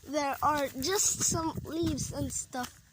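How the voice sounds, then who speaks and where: A woman's voice: sits high, fairly even intonation, slow pace, noisy background. A woman from Sweden with a Swedish accent, chatting hesitantly through a casual outdoor vlog at a campsite, filming on a handheld camera with lots of fillers. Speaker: vlogger. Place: outdoor campsite among trees.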